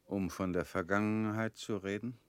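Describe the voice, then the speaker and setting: An actor in a radio drama playing a comrade who asks quiet, probing questions. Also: male voice, lowish voice, steady tone, moderate pace, smooth, no echo, natural voice.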